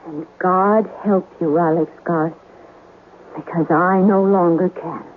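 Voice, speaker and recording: An actress playing an anguished young wife of a sea captain, in a melodramatic, pleading old-time radio drama style. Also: female voice, lowish voice, steady tone, takes it slowly, narrow, tinny audio, slight background noise. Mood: grave, foreboding. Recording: band-limited old radio recording, music behind.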